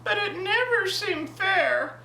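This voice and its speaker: An elderly woman of about ninety speaks with a creaky, gravelly voice and a jovial, expressive manner. She sounds like a grandmother sharing a story at home. The recording is roomy and a bit distant, with faint noise. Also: extremely high pitch, slow. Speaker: grandmother telling a family story. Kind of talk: casual reminiscing and animated story reading for family.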